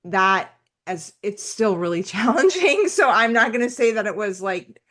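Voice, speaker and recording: A woman from the United States, a podcast guest talking in a rambling, animated way about life abroad. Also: medium pitch, normal speed. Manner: talkative, rambling, animated asides. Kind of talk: conversational podcast interview.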